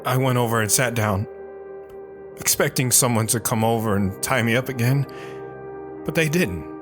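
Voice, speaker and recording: A man playing a guarded, stoic wandering veteran in an audio drama, telling his story in a somber, measured way with short, plain sentences. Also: medium pitch, lightly acted. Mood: somber, reflective. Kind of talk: dramatic first-person audio drama narration. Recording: music behind the voice.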